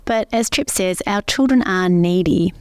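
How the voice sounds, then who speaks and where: A woman co-hosting a faith podcast, explaining points at length in a steady way. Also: lowish voice, a little slow, clean tone, no echo, clean studio sound. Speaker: podcast co-host, pastor. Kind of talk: casual faith podcast chat.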